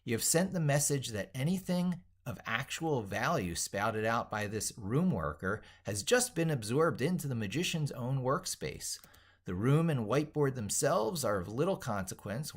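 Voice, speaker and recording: Middle-aged man, animated, swinging pitch. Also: moderate pace. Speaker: tech educator and video host. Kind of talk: reading aloud and revising own essay while typing.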